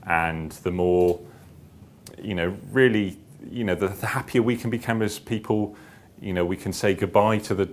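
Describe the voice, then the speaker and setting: A man giving an informal seminar talk, hesitant and repetitive as he circles back through his phrases. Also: moderate pace. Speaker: seminar speaker, publisher and founder. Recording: clear background noise with low rumble.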